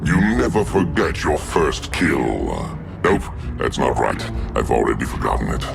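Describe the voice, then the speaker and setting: An actor voicing a bloodthirsty, mocking demonic male scythe in a game, with a deep gravelly growl. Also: very animated, a little slow, over music, exaggerated voice. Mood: sneering. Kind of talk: game voice lines.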